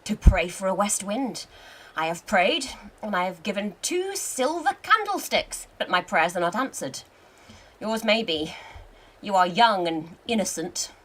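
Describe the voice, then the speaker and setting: A woman in a radio play acting a wry, seasoned male French army commander, with a measured, commanding theatrical delivery. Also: mid-range voice, sing-song, a bit bright, rough recording. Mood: patient, firm.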